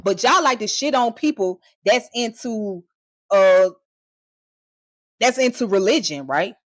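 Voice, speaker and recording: Woman streamer, mid-range pitch, heated mocking tirade, dry close recording. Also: animated, measured pace, no echo. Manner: heated, profane and mocking, with scornful laughs; confrontational, addressing viewers directly. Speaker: online streamer. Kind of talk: ranting live commentary.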